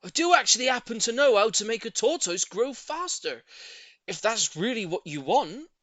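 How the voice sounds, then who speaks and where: A man voicing a shy, earnest, politely lovestruck older gentleman with a solemn, emphatic delivery in an audiobook. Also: extremely high pitch, very animated, normal speed, no room sound, voice pushed up, heavily acted. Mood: scheming, excited. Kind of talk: audiobook character voice.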